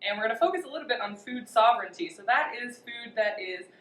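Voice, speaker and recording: A young woman hosting a casual outdoor cooking demo, chatty and quick to laugh. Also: fairly high pitch, lively intonation, fairly bright tone. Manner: chatty, laughs often, upbeat. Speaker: outdoor cooking show host. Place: outdoor cooking spot at a river park. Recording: echoey, distant, faint noise.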